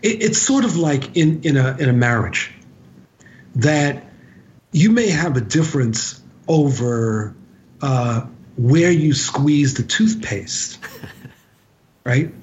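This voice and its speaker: A man speaks as an interview guest in a fairly high voice for a male. His delivery is very slow and deliberate, with halting pauses and emphatic repetition. The sound is a little dull and lo-fi. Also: animated. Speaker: podcast guest, author and activist. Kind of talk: conversational interview commentary. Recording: dull, lo-fi podcast audio.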